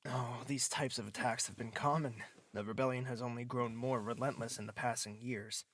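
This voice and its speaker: A man playing a proud, domineering but guarded prince in an audio drama, with a deliberate, clipped manner. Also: mid-range voice, fairly even intonation, a bit bright, a little hiss or hum, natural voice. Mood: soft, concerned.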